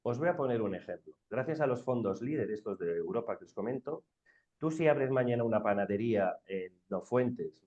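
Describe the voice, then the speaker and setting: A man with a low voice and a northern Spanish accent talks informally on a panel. He is emphatic and colloquial, often posing a question before answering it. The recording is somewhat muffled and lo-fi. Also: a little mellow. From Bilbao, Spain. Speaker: round-table panelist.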